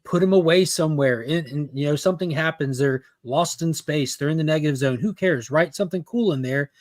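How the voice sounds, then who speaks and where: A man's voice: fairly high pitch, brisk, a little mellow, no room sound, studio quality. A man on a casual pop culture panel, laying out his opinions in long explanations.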